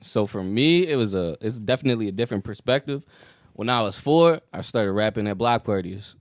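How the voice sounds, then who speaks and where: A young man recounts his start in rap in a measured, wry tone. His voice is low. The sound is dry, with no room ring. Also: animated, no echo. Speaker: podcast guest, rapper. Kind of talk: casual group chat. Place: living room with large couch. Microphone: handheld mic.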